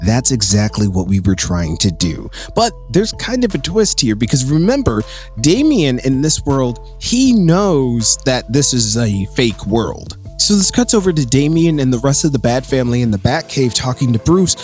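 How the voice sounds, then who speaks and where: A man's voice: medium pitch, sing-song, normal speed, a little mellow. A man hosting a comics recap, retelling the story in a casual, conversational, enthusiastic fan commentary. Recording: voice with music underneath. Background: music.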